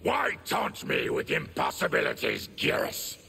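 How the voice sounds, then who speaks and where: Monstrous male outcast, bitter and defiant, extreme rasping growl, wildly swooping pitch, game dialogue over faint music. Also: wildly swooping pitch, unhurried, bright, forceful tone, slight background noise, full character voice. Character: embittered, raging male monstrous outcast rebel. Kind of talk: video game fight intro dialogue.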